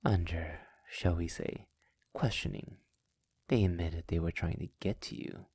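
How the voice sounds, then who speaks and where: Casting: male actor as suave, menacing vampire master for intimate ASMR drama; unhurried, fry-laden delivery, condescending and possessive, close and dry on the mic. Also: low voice, fairly even intonation, a little mellow, dry recording, full character voice. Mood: cold, gloating. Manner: slow, teasing, often dropping into breathy whispers; silky, formal, condescending endearments. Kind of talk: ASMR roleplay, intimate scripted monologue. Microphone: close mic in a dry, quiet space.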